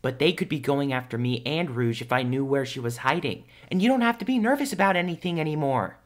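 A man's voice: mid-range voice, animated, fast, close to an everyday voice. A man voicing an anxious, persistent young hedgehog hero in a fan audio drama, earnest and pleading. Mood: insistent.

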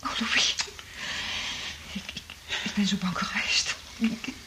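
An actress in a radio drama playing a traumatised woman survivor, half-mad from isolation, speaking in an anguished, halting, dramatic way. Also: medium pitch, steady tone, pushed and bright, in a whisper. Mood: distraught, half-mad.

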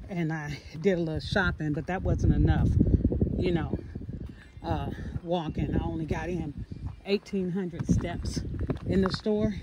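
Female vlogger, middle-aged. Unhurried, chatty, plain-spoken, recorded on a handheld phone with noticeable background noise. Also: lowish voice. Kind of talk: casual vlog chat to viewers. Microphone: phone at arm's length.

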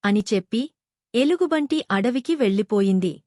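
A woman's voice: fairly high pitch, animated, normal speed, darkish tone, no echo, clean studio sound. A woman narrating a scripted moral story for children.

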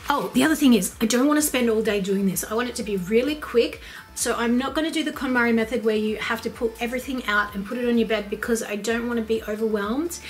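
A woman's voice: fairly high pitch, quick pace, faint music behind. An Australian woman in her thirties talking casually and practically as a home lifestyle vlogger in a small bedroom, recorded on a nearby camera. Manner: upbeat, chatty, practical. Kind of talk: casual chatty vlog talk. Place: small bedroom by a wardrobe, indoors.

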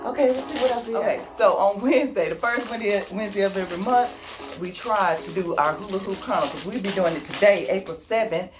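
A woman's voice: mid-range voice, quick pace. A woman in her forties chatting upbeat and casually while unboxing fitness gear in her small bedroom, talking to a camera a few feet away. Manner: chatty and upbeat, with laughs and exclamations. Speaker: fitness YouTuber. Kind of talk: casual product unboxing vlog. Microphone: camera mic at a few feet. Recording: music playing under the voice. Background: music.

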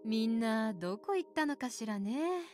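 A woman playing a cheerful, gentle working mother who clerks at a shop in an anime visual novel. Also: fairly high pitch, animated, normal speed, faint music behind, natural voice. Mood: friendly.

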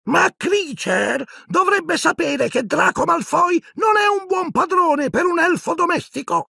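A man voicing an eager, loyal little male house-elf with a squeaky voice in an audiobook. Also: expressive, unhurried, vocal fry, pushed and bright, dry recording, raised voice, clean studio sound, full character voice. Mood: indignant.

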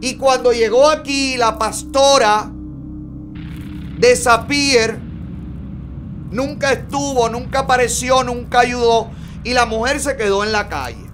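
A man's voice: extremely high pitch, unhurried, pauses often, over music. Emphatic Cuban man hosting a gossip show. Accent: Cuban Spanish. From Cuba. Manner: emphatic, sarcastic, gesturing. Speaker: host. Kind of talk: gossip commentary. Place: bright studio, seated in a red chair.

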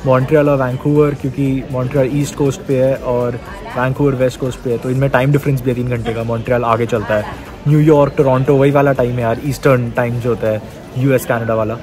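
A man's voice: medium pitch, steady tone, fast, music in the background. A man in his early twenties with an Indian accent chatting casually as a travel vlogger, mixing in English words. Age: early 20s. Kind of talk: casual vlog chat. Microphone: phone at arm's length.

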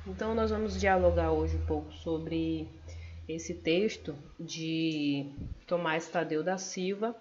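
A woman's voice: fairly low pitch, a little mellow. A Brazilian woman student giving a class presentation over slides, explaining step by step with some hesitant fillers. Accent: Brazilian Portuguese. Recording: dull lo-fi recording.

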